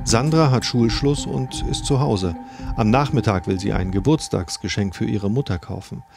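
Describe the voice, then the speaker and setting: A man's voice: lowish voice, moderate pace, vocal fry, darkish tone. A man reading scripted documentary narration about a crime case. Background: music.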